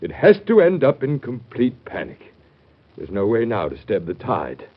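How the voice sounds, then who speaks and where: Querulous American secretary of state, dry, pessimistic, husky, old-time radio drama, muffled recording. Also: male voice, fairly low pitch, wildly swooping pitch, moderate pace, full character voice. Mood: gloomy. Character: grumbling, pessimistic Secretary of State bundled against cold.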